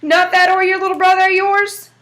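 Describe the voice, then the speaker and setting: A woman reading aloud, voicing a mean, cantankerous old male blacksmith with a gruff, drawling folksy manner. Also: extremely high pitch, little pitch movement, fairly quick, sharp tone, voice pushed up. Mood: taunting.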